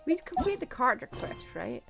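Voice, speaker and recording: Lively woman streamer thinking aloud. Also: high voice, a little fast, vocal fry. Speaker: game streamer. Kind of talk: casual live game commentary. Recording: game music behind the voice.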